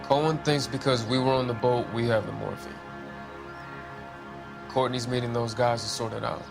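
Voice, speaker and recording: A man's voice: mid-range voice, steady tone, moderate pace, gravelly, over music, lightly acted. An actor playing a defiant, wary Mexican-American ex-serviceman in a 1940s crime video game, streetwise and guarded. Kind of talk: dramatic video game acting.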